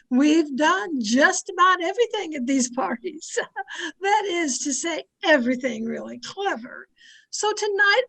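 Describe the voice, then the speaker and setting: An actress playing a gushing, self-satisfied, bossy society hostess in an old-time radio drama, speaking in an affected, grand manner. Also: female voice, high-pitched, pushed and bright, exaggerated voice, rough recording.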